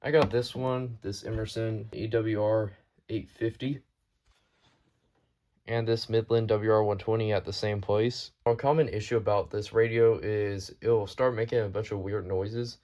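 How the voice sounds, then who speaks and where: A man's voice: lowish voice, steady tone, measured pace, mellow. A man presenting his hobby collection in a casual, unscripted show-and-tell, with a matter-of-fact, informal delivery.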